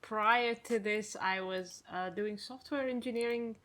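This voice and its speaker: Bright-voiced woman, laughing and modest. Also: mid-range voice, a little slow. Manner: laughing, self-deprecating, hesitant fillers. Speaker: indie game designer guest. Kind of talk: casual interview chat.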